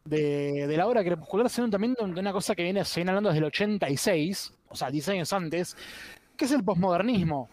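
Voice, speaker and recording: A man's voice: high-pitched, lively intonation, a little fast, a little mellow. A man giving casual critical commentary as a podcast panelist, opinionated and prone to digressions.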